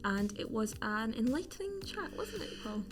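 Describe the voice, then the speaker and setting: A woman's voice: fairly high pitch, big pitch swings, moderate pace. A woman co-hosting a property podcast, agreeable and friendly, saying yes often. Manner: agreeable, many yeses. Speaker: co-host. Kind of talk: podcast interview.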